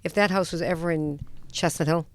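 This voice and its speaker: A woman's voice: deep voice, fairly even intonation, fairly quick. Chatty teasing woman radio host. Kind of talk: casual radio talk.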